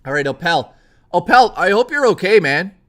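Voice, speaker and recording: A young man hosts a live stream. His voice is hoarse from hours of talking, yet it stays bright and forceful, swinging through a lively pitch range as he chats excitably with viewers and swears freely. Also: moderate pace. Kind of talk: casual live-stream chat.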